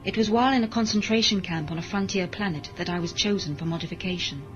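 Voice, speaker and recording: A woman's voice: medium pitch, fast talker, lightly acted. Woman acting emotionless cyborg lady, precise n formal, obedient, lo-fi radio play w faint music. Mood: flat, detached. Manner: formal, detached, precise, deferential. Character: emotionless modified female cyborg servant, dutiful. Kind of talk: science fiction audio drama acting. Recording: dull lo-fi audio drama recording, music behind.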